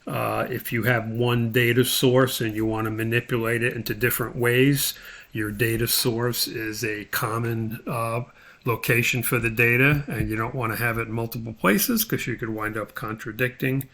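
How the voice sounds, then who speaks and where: A man's voice: medium pitch, fairly even intonation, moderate pace, fairly bright tone. A man giving an informal screen tutorial as an instructor, thinking aloud step by step as he demonstrates.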